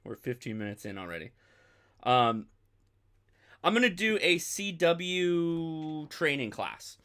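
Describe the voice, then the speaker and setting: A man's voice: fairly high pitch, big pitch swings, slow, sharp tone. An American man hosting a ham radio livestream in a casual, chatty way that rambles and digresses. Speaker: host of a ham radio live stream.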